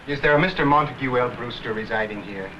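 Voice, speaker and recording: An actor playing a formal, guarded man bringing news of an inheritance in an old film, officious and deliberate. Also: mid-range voice, over a noisy crowd, natural voice. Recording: hissy, muffled old film soundtrack.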